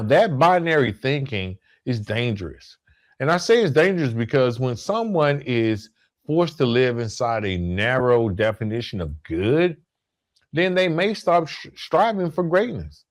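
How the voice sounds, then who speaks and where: A man hosting a solo podcast, explaining his points in an emphatic, rhetorical way and repeating key phrases for weight. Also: mid-range voice. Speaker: podcast host.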